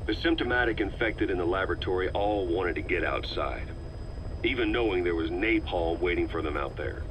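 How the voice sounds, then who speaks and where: A middle-aged soldier in command in a video game, speaking very low. He is terse and serious and questions in a no-nonsense way. Clear engine-like vehicle noise runs behind him. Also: a little fast, a bit bright, rough recording. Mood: serious, questioning. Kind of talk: scripted video game dialogue.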